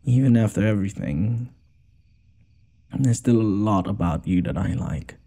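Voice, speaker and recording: A man playing a gentle, smitten noble lord in an intimate audio roleplay, hesitant and apologetic, stammering and sighing as he talks. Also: lowish voice, unhurried, vocal fry. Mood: hopeful.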